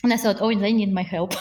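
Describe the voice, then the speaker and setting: A woman's voice: medium pitch, darkish tone, echoey room. A woman with a Russian accent, a voice coach talking earnestly in an interview and laughing often. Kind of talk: podcast interview.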